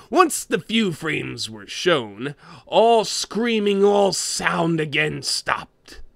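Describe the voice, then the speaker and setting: A man voicing a greedy, grumpy cartoon crab boss who reads a horror story in a theatrical, expressive way. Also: sits high, vocal fry, dry recording, clean studio sound, full character voice. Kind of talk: dramatic creepypasta reading.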